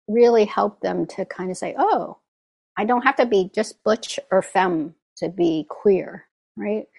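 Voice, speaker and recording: A woman's voice: mid-range voice, vocal fry, darkish tone. A woman meditation teacher giving a reflective dharma talk, often checking in with "right?" and "you know".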